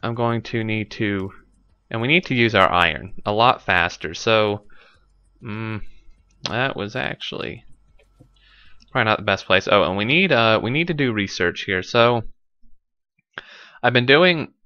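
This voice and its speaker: A man's voice: on the low side, animated, measured pace, pauses often, bright, forceful tone, clear, resonant tone, no room sound, highs cut off. A man with a stuffy nose commenting casually on his gameplay, thinking aloud with lots of fillers, recorded on a desk microphone at home. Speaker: let's play host.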